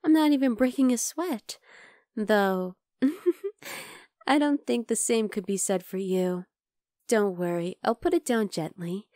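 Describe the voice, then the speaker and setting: A woman's voice: on the high side, no room sound, clean studio sound, natural voice. A woman playing an obsessive, chatty vampire lab worker in an ASMR roleplay, coaxing and teasing the listener. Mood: smug, playful. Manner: conversational, teasing, coaxing, with soft asides.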